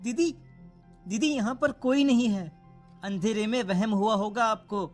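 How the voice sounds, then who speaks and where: A woman's voice: a little high, a little slow, darkish tone, voice pushed up. A woman voicing a cheeky, matter-of-fact little brother in a cartoon horror story. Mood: reassuring. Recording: music under the voice.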